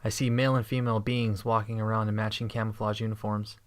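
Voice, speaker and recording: A man reading scripted first-person sci-fi narration in a steady, understated way. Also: on the low side, fast talker, clear, resonant tone. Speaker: narrator and protagonist.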